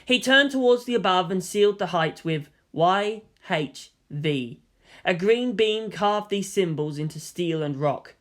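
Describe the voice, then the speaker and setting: A fan fiction reader narrating a long story in a steady, even storytelling voice, acting out the dialogue. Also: medium pitch, a little slow. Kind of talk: audiobook-style narration.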